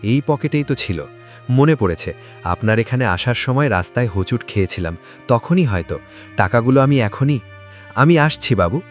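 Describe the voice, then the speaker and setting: A man's voice: mid-range voice, lively intonation, moderate pace, faint music behind, lightly acted. A man playing a poor, hardworking village father, earnest, meek and polite, in a moral-story cartoon. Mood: polite, flustered.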